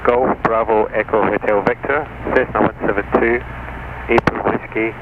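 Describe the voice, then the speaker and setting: A man's voice: fairly low pitch, fairly even intonation, normal speed. A man working as a pilot, making procedural calls and readbacks over aviation radio. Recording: dull airband radio with clear static noise.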